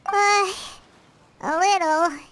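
A woman voicing a tiny, childlike female fairy companion in a video game, squeaky, anxious, earnest and excitable. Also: very slow, dry recording, heavily acted. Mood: nervous. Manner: excitable, speaks of herself in third person. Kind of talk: cartoonish video game voice acting.

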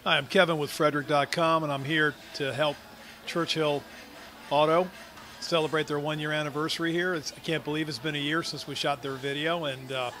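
A middle-aged man making jokey, relaxed remarks into a handheld mic in an open garage. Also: fairly high pitch, fairly quick. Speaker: video producer guest. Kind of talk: casual remarks to camera.

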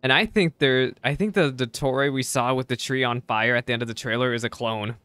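A man's voice: medium pitch, a little fast, bright, forceful tone, clean tone, no echo. A young man giving casual live reaction commentary as a streamer, close on the mic. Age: young adult. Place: indoor streaming setup. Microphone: close desk microphone.

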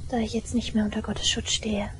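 Casting: actress as yandere shrine maiden girl, highish voice, intense and unhinged, audio drama with noisy background. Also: flat delivery, lightly acted. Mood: hushed, resolute. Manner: intense, deliberate, swinging between hushed and frenzied.

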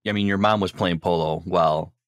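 A man hosting a relaxed podcast interview, talking in a very low voice. He chats loosely, rambles and often restarts his sentences. The sound is dry and close. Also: fairly quick, no room sound. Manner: chatty, rambling, with repeated restarts. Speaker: podcast host. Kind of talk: casual interview.

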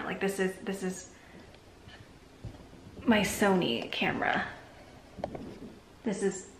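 A woman in her thirties talking to the camera in a casual, reflective vlog from her bedroom, sometimes dropping to a soft near-whisper. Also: on the low side. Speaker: vlogger. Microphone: camera mic at arm's length. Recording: echoey room with faint hiss.

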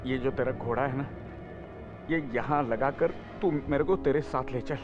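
A man's voice: medium pitch, normal speed, lightly acted. A young man playing a scheming, cowardly politician in a gangster film spoof, theatrical and repeating his phrases. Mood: frightened, pleading. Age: young adult. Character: scheming, corrupt politician who turns cowardly and pleading. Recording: muffled audio with background music.

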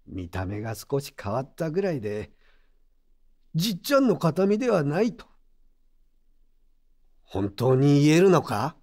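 An old craftsman in a radio drama, gruff and kindly. He talks in a measured, rustic way, with a fairly high voice that swings widely in pitch. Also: big pitch swings, a little mellow. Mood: stern, urging. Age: older. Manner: elderly rustic speech, plain-spoken, measured. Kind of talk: Japanese audio drama acting.

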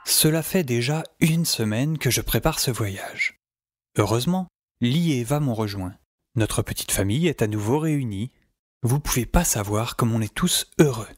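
A man's voice: medium pitch, animated, moderate pace, no room sound, clean studio sound, lightly acted. A man playing a casual, wry young hero who narrates his adventure in an audio drama. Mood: reflective.

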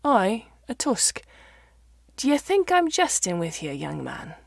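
Storybook role: blunt rural farmer played by a woman, gravelly, rustic dialect. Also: medium pitch, huge pitch swings, slow pace, dry recording, clean studio sound, voice pushed up, exaggerated voice. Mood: upset, blunt. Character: gruff, blunt old Yorkshire mammoth farmer, male.

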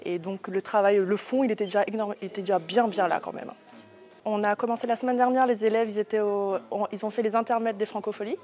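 A woman's voice: mid-range voice, fairly even intonation, fast talker, a little mellow, soft background music. A young woman in her twenties answering informally in a press interview as the president of a school. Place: indoor room at an arts school.

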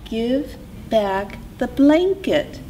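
A woman's voice: fairly high pitch, very animated, extremely slow, dark tone, slight background noise, voice pushed up, full character voice. A woman voicing a tiny, stern hummingbird in a children's story, firmly scolding and admonishing. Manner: firm, admonishing.

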